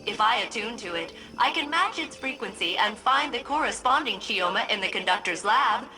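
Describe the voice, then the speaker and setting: A woman's voice: on the high side, lively intonation, normal speed, bright, forceful tone, soft background music, rough recording. An actress playing a quirky, anxious, chatty female AI companion in a video game, with nervous, wry asides. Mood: nervous, hopeful. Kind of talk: video game character dialogue.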